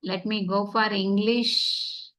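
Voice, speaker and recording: A woman's voice: medium pitch, steady tone, slow, bright, forceful tone. Online class teacher, woman, native Tamil speaker; step-by-step explainer who keeps checking students are following. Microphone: computer mic on video call.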